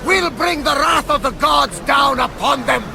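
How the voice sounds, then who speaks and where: A hearty, pious old Greek ship's captain in a video game. He booms and exclaims in a gravelly voice pushed very high. Clear ambient noise surrounds him. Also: lively intonation, unhurried, crowd noise, heavily acted. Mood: indignant, rousing. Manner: booming, exclamatory. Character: hearty, devout, blustering older Greek male sea captain. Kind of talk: dramatic video game dialogue. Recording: noisy outdoor ship ambience behind voice.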